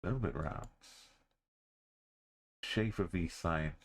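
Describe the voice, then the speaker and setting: A deep-voiced man talks slowly through his game. He keeps to short, clipped phrases, mostly talking to himself. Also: vocal fry. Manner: muttering, terse thinking aloud. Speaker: streamer. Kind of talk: commentary while playing a game.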